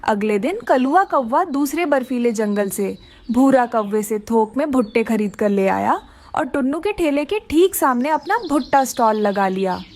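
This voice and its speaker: A woman's voice: on the high side, lively intonation, normal speed, a little mellow, a little hiss or hum. A woman giving scripted narration for a children's animal story.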